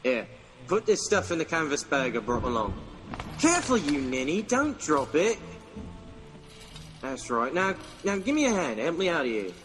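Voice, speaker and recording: An actor playing a cruel, greedy servant in a radio mystery, bullying and scornful, ending remarks with "yeah?". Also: male voice, fairly high pitch, big pitch swings, moderate pace, long pauses, fairly bright tone, faint music behind. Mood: gloating. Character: cruel, greedy, scheming young working-class male servant. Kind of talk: radio mystery drama acting. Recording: long reverb, faint background noise.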